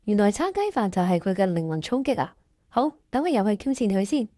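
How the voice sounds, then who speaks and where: A woman's voice: mid-range voice, lively intonation, a little fast, warm tone, no echo, clean studio sound, lightly acted. A woman playing an eager, anxious junior employee who also presents a comedic workplace radio drama.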